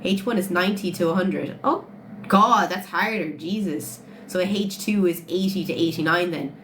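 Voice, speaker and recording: Blunt Irish woman livestreaming, lowish voice. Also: moderate pace, a bit bright. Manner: blunt, swears freely, answers chat questions. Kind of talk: casual livestream chat with viewers. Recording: phone livestream with audible background noise.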